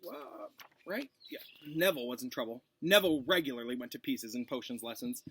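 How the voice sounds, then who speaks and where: A man, a teacher, reading a storybook aloud in an expressive narrating style. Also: mid-range voice, brisk, vocal fry, slight background noise.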